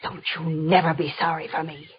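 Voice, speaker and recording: A woman's voice: low-pitched, flat delivery, a little fast, natural voice. An actress playing a harsh, bitter older washerwoman in an old radio drama, mocking and bullying in rustic, folksy speech. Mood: cold, bitter. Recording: noisy, dull old radio recording.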